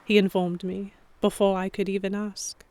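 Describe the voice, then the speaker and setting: A woman voicing a young noblewoman heroine, telling her own story in an audio drama. She sounds fretful and introspective, tentative when she speaks up, at a middling pitch. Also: flat delivery, moderate pace, a little mellow, lightly acted. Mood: wary, reflective. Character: anxious young noblewoman heroine narrating her captivity among pirates. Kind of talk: first-person scripted narration in audio drama.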